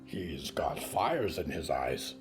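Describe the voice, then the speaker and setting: A man plays a huge, gentle undead giant in an audio drama, very low and soft. He talks slowly, with childlike, hesitant simplicity over faint music. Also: very animated, heavily acted. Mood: simple, earnest.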